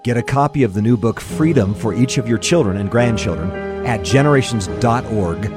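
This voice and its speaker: Dramatic American man over music, very animated. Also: medium pitch, fairly quick. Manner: earnest, dramatic storytelling delivery. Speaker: author promoting his own book. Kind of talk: scripted radio advertisement. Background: prominent music bed.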